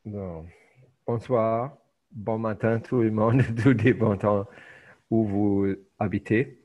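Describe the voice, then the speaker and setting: A middle-aged man whose first language is German, giving an online lecture in a hesitant way with many filler pauses. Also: on the low side, steady tone, a little mellow. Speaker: teacher. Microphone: video call. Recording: muffled video call audio.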